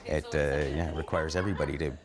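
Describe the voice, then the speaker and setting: A man's voice: a bass voice, steady tone, quick pace, over music. A man who performs radio theatre, talking casually in an interview.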